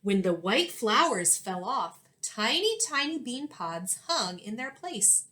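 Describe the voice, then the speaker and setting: A woman in her thirties, an elementary teacher reading a storybook aloud and giving instructions in a clear, expressive way for young children. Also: on the high side, slow. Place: small indoor classroom-like room.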